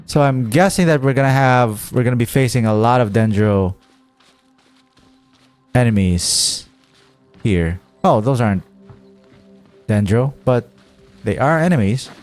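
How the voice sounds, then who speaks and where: A man's voice: mid-range voice, expressive, a little slow, pauses often, darkish tone, faint music behind. A man giving casual gameplay commentary as a streamer, thinking aloud and reading game text. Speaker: gaming streamer.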